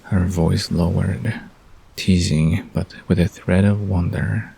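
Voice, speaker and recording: Deep, slow male bedtime narrator. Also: flat delivery, gravelly, husky, low-quality recording. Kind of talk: soft scripted story narration for bedtime. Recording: soft guitar music behind the voice.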